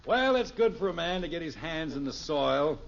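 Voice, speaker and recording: Amiable middle-aged US dad, varied highish pitch, slightly hesitant, old radio sitcom. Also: male voice, lively intonation, moderate pace, vocal fry. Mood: affable. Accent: American. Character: amiable, easygoing middle-aged suburban family father. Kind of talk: 1950s radio sitcom acting. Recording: muffled old radio recording.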